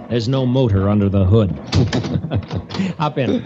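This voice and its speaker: A man's voice: on the low side, takes it slowly, noisy background. An actor playing a jovial but worried male old friend who laughs easily, in a 1940s radio drama. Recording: dull old radio recording.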